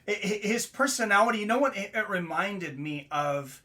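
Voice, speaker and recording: A man's voice: high-pitched, lively intonation, moderate pace, pushed and bright. A man hosting an interview, chatty and a little hesitant, agreeing often. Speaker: interview host. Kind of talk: casual interview questions.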